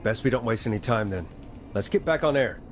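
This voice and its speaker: A man playing an earnest, caring late-night radio host in a measured, dramatic style. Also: fairly low pitch, lively intonation, music in the background, close to an everyday voice. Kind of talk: dramatic game voice acting.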